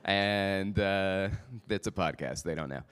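Deep voiced guy hosting a live podcast at a conference, loose and jokey with the room. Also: slow, fairly bright tone, smooth. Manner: informal, joking. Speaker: podcast host. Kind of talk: live presenting to an audience. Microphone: microphone.

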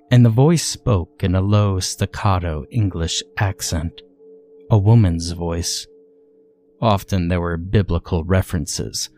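A man with a low-pitched, dark and mellow voice narrates a creepypasta tale in the first person. His delivery is measured and deliberate, with a storyteller's sense of drama. Music plays softly behind him.

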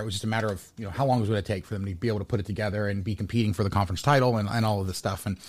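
A man's voice: fairly low pitch, dry recording. A man hosting a sports podcast in casual talk, rambling, often repeating words and restarting his sentences.

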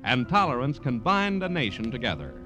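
A man with an American accent announcing formally for 1950s radio, polished, measured and declarative. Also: medium pitch, huge pitch swings, sharp tone. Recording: vintage radio recording, music behind.

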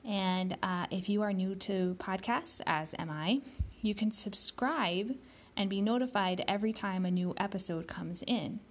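A woman hosting her own podcast, speaking slowly and deliberately, pronouncing each word carefully, sometimes dropping to a soft whisper. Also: medium pitch. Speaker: podcast host. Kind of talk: slow, careful spoken podcast introduction.

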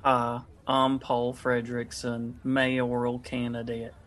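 An actor playing an earnest, self-promoting politician and handyman with a deadpan manner in a radio comedy. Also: medium pitch, slow pace. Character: earnest, flat-toned male politician and handyman.

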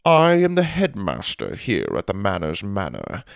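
A man voicing a snobbish, fancy headmaster in a children's storybook, with a haughty, precise, drawn-out delivery. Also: fairly low pitch, huge pitch swings, gravelly, no echo, studio quality, full character voice. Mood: haughty, formal. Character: snobbish, fancy male headmaster of a manners school.

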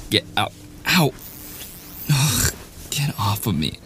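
A man's voice: fairly low pitch, very animated, slow, pushed and bright, slight background noise, full character voice. A man playing a cocky young student bully who sneers and gets flustered, in a boyfriend ASMR audio roleplay. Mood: alarmed, protesting. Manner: sarcastic, taunting, hesitant stammering under pressure.

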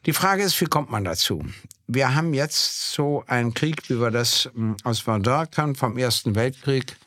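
An older man talks politics in a relaxed, conversational way, working through his points step by step with small hesitant fillers. His voice sits at an ordinary middle pitch. The sound is close and dry. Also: normal speed, no echo. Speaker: podcast co-host, politician. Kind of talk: political discussion.

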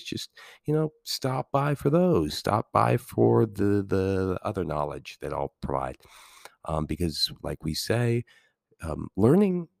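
A man with a very low, calming voice and a gravelly edge talks through his podcast in a loose, rambling way, full of fillers and restarts. He is getting over a cold. The sound is close and dry, with no room in it. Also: dry recording. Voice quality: calming, soothing voice. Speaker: podcast host and teacher. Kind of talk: casual solo podcast talk.

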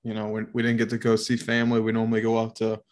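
A man's voice: on the low side, completely flat delivery, rapid-fire, a little mellow. Nasal, rambling male co-host. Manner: rambling, profane, laughing. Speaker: podcast co-host. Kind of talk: casual podcast chat.

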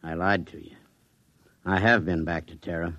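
A man's voice: sits low, steady tone, normal speed, bright, forceful tone, dry recording. Old spaceman: ailing, folksy, wistful and secretive; dry, dull vintage radio drama sound. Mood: weary, secretive. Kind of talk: 1950s science fiction radio acting.

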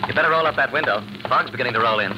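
An actor playing a brusque, domineering lover in an old-time radio drama, insistent and impatient. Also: male voice, medium pitch, very fast, muffled recording, natural voice. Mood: brisk, dismissive. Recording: noisy vintage radio recording.

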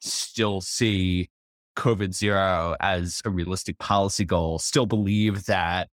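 A man co-hosting a talk podcast in casual conversation, animated and stumbling over restarts. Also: low-pitched, slow, dry recording, clean studio sound. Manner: animated, stammering restarts, laughs while telling anecdotes. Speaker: podcast co-host.

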